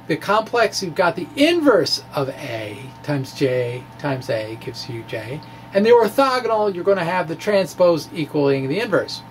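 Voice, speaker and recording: A man giving an informal, animated explainer of his own material, with wry asides and a gravelly edge to his voice. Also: a little high, slight background noise, poor audio. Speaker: physics blogger presenting his own puzzles. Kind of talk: informal explainer, reading and discussing a blog.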